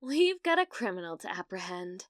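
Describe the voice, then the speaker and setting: A British woman playing a needy, awkward young gamer in an ASMR roleplay, with a halting, nervous manner. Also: lowish voice, sing-song, a little hiss or hum. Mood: wary, determined. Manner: halting, flustered, breathy laughs and hesitations.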